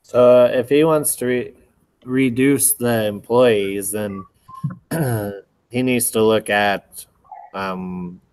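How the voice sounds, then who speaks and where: A man speaks slowly and haltingly, restarting his sentences as he sums up a reading for the group. He clears his throat between thoughts and keeps a mid-range tone. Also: mid-range voice, a little mellow, rough recording. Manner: hesitant, many fillers and restarts, clears throat. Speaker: student in a discussion group. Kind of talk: casual class discussion.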